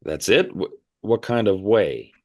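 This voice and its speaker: A man's voice: fairly low pitch, animated, a little slow. A man playing a curious, flustered interviewer in an audio play, with a hesitant, stammering, inquisitive manner. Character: curious, flustered male interviewer visiting a strange commune.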